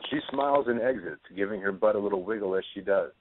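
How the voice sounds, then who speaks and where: Low-voiced man narrating on a narrow line. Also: quick pace, a little hiss or hum. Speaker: narrator reading stage directions. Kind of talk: scripted narration.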